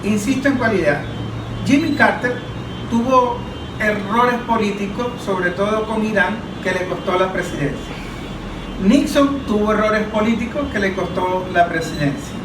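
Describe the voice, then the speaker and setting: A man speaking as an analyst in a political roundtable, making his argument deliberately through listed examples. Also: high-pitched. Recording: echoey, distant mic, background noise.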